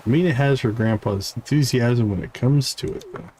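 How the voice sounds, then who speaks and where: A man's voice: mid-range voice, moderate pace, dark tone, slight background noise, rough recording. A man livestreaming a game, reading character dialogue aloud with stumbles and short asides. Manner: reads on-screen text aloud with stumbles and asides. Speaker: game streamer.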